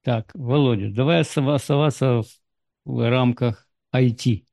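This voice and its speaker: A man hosting a conversation, asking calm, probing questions. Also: mid-range voice, moderate pace, vocal fry, no room sound. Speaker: interviewer, host. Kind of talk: casual conversation.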